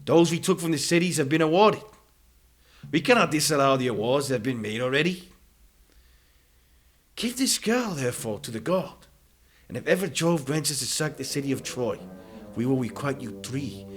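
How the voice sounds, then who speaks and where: A man's voice: mid-range voice, expressive, normal speed, long pauses, natural voice. A man playing a proud, defiant warrior hero in a dramatized epic audiobook, declaiming with bold indignation over music. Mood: indignant. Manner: declamatory, forceful oratory.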